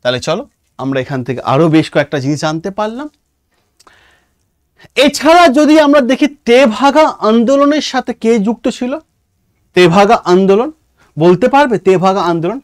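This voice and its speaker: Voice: medium pitch, very animated, normal speed, pauses often, dry recording, clean studio sound. A teacher with an Indian Bengali accent giving an online exam lesson, explaining emphatically and often checking understanding with short questions. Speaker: exam-prep history teacher.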